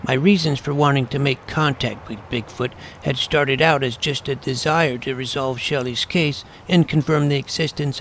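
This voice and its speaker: A man's voice: on the high side, creaky, slight background noise, low-quality recording. A man playing an earnest, imaginative boy detective, narrating his own case in wordy, mock-serious audio drama style. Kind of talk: scripted first-person narration with dialogue.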